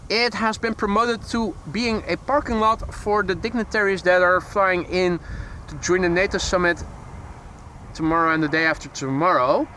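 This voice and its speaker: A Dutch-accented man with a fairly high voice hosts live airport commentary from beside a field. He chats loosely, corrects himself mid-sentence and slips in dry jokes, with faint outdoor noise and passing vehicles behind him. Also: sharp tone, low-quality recording. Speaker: plane spotting livestream host. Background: outdoor ambience, vehicles.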